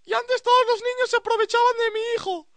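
A shrill spoof-ad testimonial from a man doing the voice. The voice sits very high and sounds dry and close, with no room around it. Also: steady tone, quick pace, fairly bright tone, no echo. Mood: mock testimonial. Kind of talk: mock ad voice-over with character testimonials.

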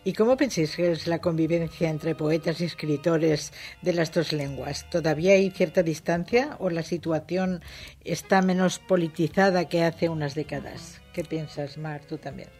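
A radio interviewer speaks at a middling pitch and asks questions courteously, with an inquisitive tone. Faint music sits under the voice. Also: fairly even intonation, moderate pace, darkish tone, slightly rough.

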